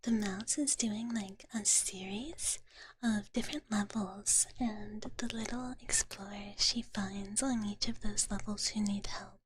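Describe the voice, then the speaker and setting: A woman streaming ASMR, chatting casually to viewers in a soft, breathy whisper with a gentle, cutesy manner, close to the microphone. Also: mid-range voice, measured pace, poor audio. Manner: gentle, cutesy, refers to self in third person. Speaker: ASMR livestream host.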